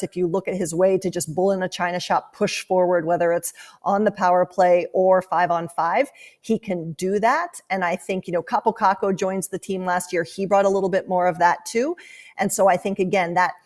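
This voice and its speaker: A woman's voice: on the low side, steady tone, normal speed, darkish tone. A woman speaking as a guest sports analyst in a podcast interview, explaining her thinking conversationally.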